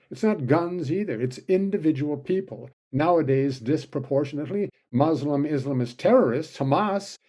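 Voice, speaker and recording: A man's voice: a little high, dark tone. A man delivering an opinion commentary monologue, full of sardonic, sarcastic asides.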